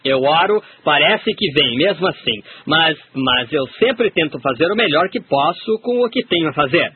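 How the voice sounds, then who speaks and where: A man's voice: fairly high pitch, animated, moderate pace, slightly rough. A man steadily reading a translated sermon aloud. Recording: tinny phone-like sound, faint hiss.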